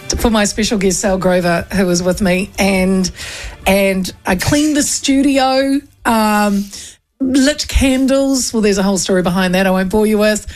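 A woman hosting a casual chat show, bubbly and talkative, laughing often and restarting her sentences. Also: on the high side. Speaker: radio and online show host. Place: small studio.

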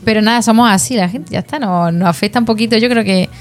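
A woman's voice: medium pitch, faint music behind. A woman from Granada with an Andalusian accent, hosting a casual radio interview in a chatty, agreeable way. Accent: Andalusian Spanish. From Granada, Spain. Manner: chatty, agreeable, quick back-and-forth. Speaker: radio host.

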